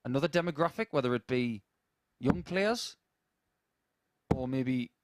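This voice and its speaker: A man with a deep voice talks through a darts match in an even, middle-pitched tone. He analyses play and chats easily with his co-commentator over a headset mic. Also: measured pace, slight background noise. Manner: conversational analysis, with asides to a co-commentator. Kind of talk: live sports commentary.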